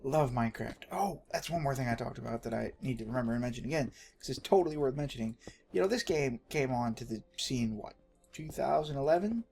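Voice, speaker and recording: A man's voice: medium pitch, expressive, a little fast, vocal fry, a little mellow. A man from Texas with a Texan accent gives casual, rambling gameplay commentary as a video host, jokey and self-deprecating, often talking to himself. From Texas, United States. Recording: home recording with faint background noise.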